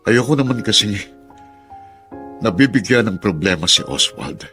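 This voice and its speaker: A man playing a middle-aged ex-convict father in a Filipino radio drama, halting, emotional and self-sacrificing as he pleads. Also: lowish voice, big pitch swings, pushed and bright, faint music behind, exaggerated voice. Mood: resigned, hurt.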